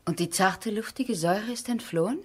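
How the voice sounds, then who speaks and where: An actress playing a cultivated, practical lady of a country estate, composed and gently ironic, in radio drama style. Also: medium pitch, moderate pace, breathy edge, lightly acted. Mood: wry. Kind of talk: 1970s German radio drama acting.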